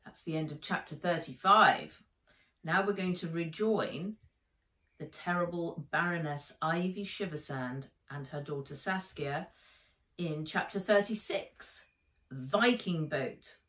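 A middle-aged woman reading a children's story aloud as its author, with expressive, emphatic dramatic narration. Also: low voice, slow. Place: sitting room by a window, indoors. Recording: roomy, slightly distant home recording.

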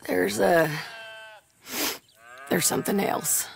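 An actress playing a tough, plain-spoken female bounty hunter with a raspy voice and a rural American accent in a video game. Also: lowish voice, takes it slowly, exaggerated voice. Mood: apologetic, low. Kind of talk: video game cutscene acting.

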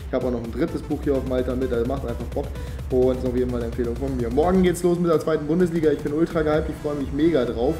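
A man's voice: mid-range voice, racing, warm tone, soft background music. A young man chatting casually to the camera in an upbeat, slangy way, with a slight cold. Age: young adult. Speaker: vlogger. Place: outdoors on residential streets and indoors in a living room. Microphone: phone or camera at arm's length.

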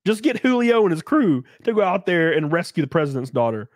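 A man's voice: fairly high pitch, very animated, fairly quick, no echo, clean studio sound. Crude joking man with a stuffy cold. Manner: crude, joking, laughs often. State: congested from a cold. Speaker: livestream host. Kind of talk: casual live-stream chat reading donations. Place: small home streaming room. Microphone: studio mic on boom arm, close.

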